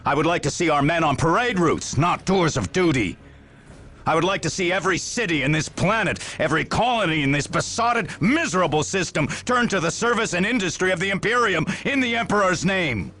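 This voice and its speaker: A man's voice: high-pitched, expressive, a little fast, pushed and bright, slight background noise. A man playing a stern, weary military general in a video game, speaking in grandiose speeches and clipped questions. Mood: bitter, visionary. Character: stern, weary Imperial Guard general, zealous and commanding. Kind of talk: dramatic video game cutscene acting.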